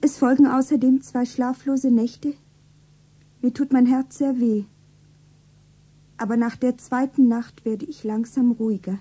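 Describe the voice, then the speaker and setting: An actress playing a lovelorn, naive young shopgirl, plaintive and earnest, with a soft breathy voice in a radio drama. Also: on the high side, fairly even intonation, a little slow, pauses often. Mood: wistful, wounded. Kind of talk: stylised radio drama, self-narrating.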